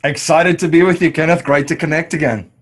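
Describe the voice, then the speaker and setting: A man's voice: fairly high pitch, fairly even intonation, a little fast. A man in his thirties from South Africa with a South African accent, answering as an interview guest in a rambling way with many fillers. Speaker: podcast host and wealth strategist guest.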